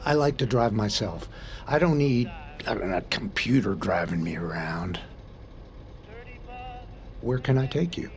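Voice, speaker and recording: An actor playing a friendly, protective older truck driver in an audio drama, folksy and halting, with a creaky voice. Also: male voice, lowish voice, expressive. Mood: friendly, concerned. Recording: noisy vehicle cab ambience.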